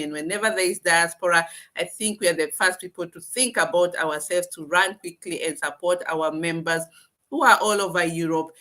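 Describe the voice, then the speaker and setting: A woman's voice: lowish voice, fairly bright tone. A woman hosting a live-stream interview, speaking earnestly and addressing viewers directly. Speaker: host.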